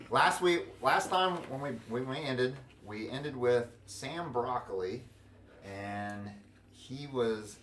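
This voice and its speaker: A man narrating as game master, recapping the last session in an unhurried way. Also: medium pitch, fairly bright tone, echoey room, a little hiss or hum.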